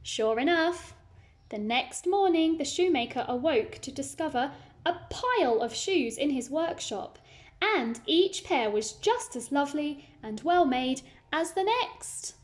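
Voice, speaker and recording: A woman's voice: high-pitched, animated, unhurried. A woman reading a children's story aloud, narrating expressively and adding simple asides for young listeners.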